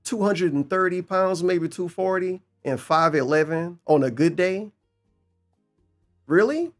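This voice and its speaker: A man's voice: high-pitched, animated, a little slow, faint music behind. A man giving casual, mocking reaction commentary as a streamer, incredulous, sarcastic and profane.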